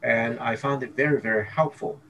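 This is a man from Hong Kong, speaking as a dentist guest in a relaxed interview. He talks in a friendly, rambling way and keeps checking in with the listener. A faint background noise sits under his voice. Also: medium pitch, a little fast, low-quality recording. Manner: chatty, peppers speech with "okay?" and "you know". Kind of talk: casual interview talk.

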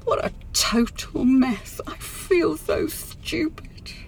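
A woman's voice: high voice, takes it slowly, gravelly, a little hiss or hum, heavily acted. An actress playing a distraught middle-aged office woman in a radio play, with a wordy, wounded, dramatic delivery. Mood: embarrassed, rueful.

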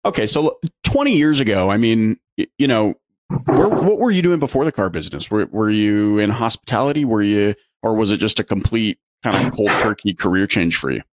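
A man in his late thirties hosting a casual interview, animated and often stumbling over his words. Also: fairly low pitch, brisk, no echo. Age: 30s. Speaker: interview host.

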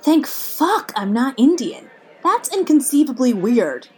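An actress playing a brash, blunt comedian friend in a naturalistic audio drama, sarcastic, punchy and profane. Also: female voice, high voice, very animated, measured pace, darkish tone, slightly rough, heavily acted. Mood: blunt, incredulous.

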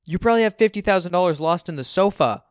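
A man plays a spoiled, dramatic grown son in an audiobook. The voice is pushed up high and emphatic, indignant and pleading, with a clean, smooth tone. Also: expressive, slow, dry recording, clean studio sound. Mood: exasperated pleading. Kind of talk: audiobook character dialogue.

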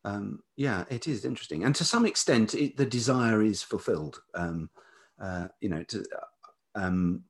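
A man's voice: fairly low pitch, animated, moderate pace. A middle-aged man with a velvety voice, telling stories reflectively and hesitantly as an interview guest, often repeating words as he searches for the next. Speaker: interview guest, writer and musician. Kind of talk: reflective conversational storytelling.

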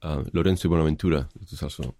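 Man, Spanish accent, middle-aged, deep voice, dry close sound, hesitant interview answers. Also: racing, warm tone, no echo. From Spain. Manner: thoughtful, hesitant, many fillers. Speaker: film director.

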